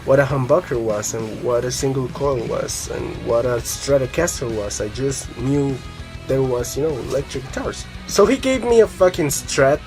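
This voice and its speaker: A man's voice: mid-range voice, animated, moderate pace. A young man from Colombia with a Colombian Spanish accent, chatting casually to camera as a guitar vlogger, rambling with many fillers and casual swearing.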